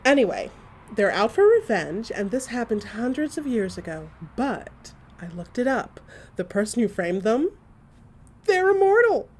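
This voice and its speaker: A woman acting a chatty, excitable gossip who tells stories to a friend in a theatrical, emphatic way, in a comic audio drama monologue. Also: a little high, a little slow, a little hiss or hum. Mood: excited gossip.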